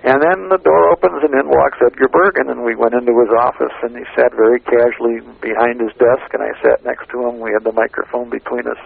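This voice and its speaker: An American man telling casual anecdotes in a halting, meandering way, repeating phrases as he goes. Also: medium pitch, level tone, creaky. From United States, Chicago area. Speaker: radio interviewer, guest recalling past interviews. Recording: lo-fi narrow radio or phone sound.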